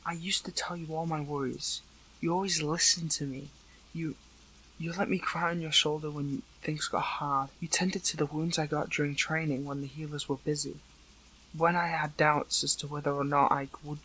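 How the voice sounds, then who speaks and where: A man playing a weakened captive young superhero in an intimate audio roleplay, hurt but earnest, speaking haltingly with emotion. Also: fairly high pitch, flat delivery, bright, forceful tone, low-quality recording.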